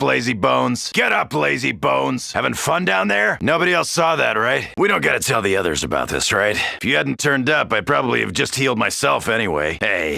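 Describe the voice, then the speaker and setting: A tough American marine from a video game, with a heavily gravelled, forceful voice. He is brash and wisecracking, swinging widely in pitch as he delivers cocky, foul-mouthed battle lines. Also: no echo, clean studio sound, full character voice. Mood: wry, teasing. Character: tough cocky American soldier, gung-ho zombie-slaying marine. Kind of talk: video game character barks.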